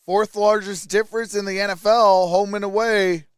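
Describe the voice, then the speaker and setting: A man's voice: sits high, steady tone, moderate pace, smooth, no room sound. A man hosting a sports livestream, calling plays casually and chatting with viewers as he reacts live. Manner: casual, reads viewer comments aloud. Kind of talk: live reaction play-by-play with chat replies.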